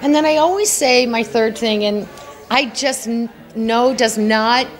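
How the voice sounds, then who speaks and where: A woman's voice: a little high, measured pace, faint music behind. A middle-aged woman, an award honoree, talking earnestly and chattily in a red carpet interview at an indoor gala, with frequent little laughs. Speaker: award honoree, beauty entrepreneur. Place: indoor gala press wall. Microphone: handheld mic held by interviewer.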